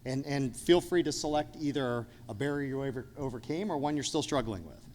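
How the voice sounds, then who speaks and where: A man's voice: medium pitch, brisk, a little mellow, a little hiss or hum. A man moderating a conference panel in a friendly, orderly way, thanking panelists and taking questions. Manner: friendly, orderly, some fillers. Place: conference hall. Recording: echoey conference hall.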